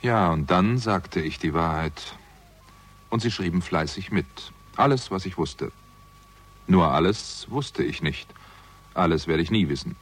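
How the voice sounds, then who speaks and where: A man's voice: deep voice, expressive, unhurried, slight background noise. An actor playing a weary, bitter theatrical agent who narrates his own story in a terse, sardonic way in a 1960s German crime radio drama. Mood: weary, reflective.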